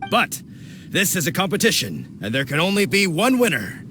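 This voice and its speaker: Theatrical, animated food judge. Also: male voice, on the high side, normal speed, slight background noise, exaggerated voice. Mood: solemn, grandiose. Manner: theatrical, formal. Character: grandiose male food judge, solemn and easily astonished. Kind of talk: video game voice acting.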